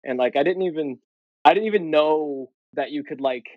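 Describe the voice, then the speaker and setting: A man's voice: fairly high pitch, normal speed, dry recording, narrow, tinny audio. A young man from Ohio, a comedian guest telling stories in casual podcast chat with lots of fillers and swearing. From Ohio, USA. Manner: rambling, many fillers, swearing.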